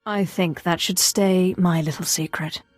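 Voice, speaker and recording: A woman's voice: on the low side, steady tone, unhurried, darkish tone, no room sound, soft background music. A woman playing a self-assured, sardonic Sith apprentice in a video game, speaking in a cool, clipped way. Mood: sly, confident. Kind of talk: scripted video game dialogue.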